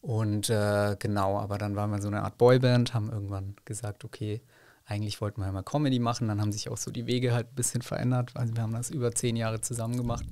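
A man's voice: lowish voice, flat delivery, quick pace, darkish tone, no room sound. A young man from Franconia with a light Franconian accent, chatting casually as a podcast guest and laughing often. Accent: light Franconian German. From Middle Franconia, Germany. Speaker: podcast guest, comedian and actor.

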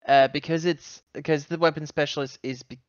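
A man's voice: fairly high pitch, steady tone, brisk, dry recording, muffled recording. A man chatting casually as a player in a tabletop role-playing game.

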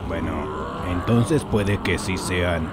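A man's voice: deep voice, slow, very noisy. A man voicing an anxious, fussy flying sidekick who worries aloud and makes dry sarcastic remarks, in a comic fan dub. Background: background music.

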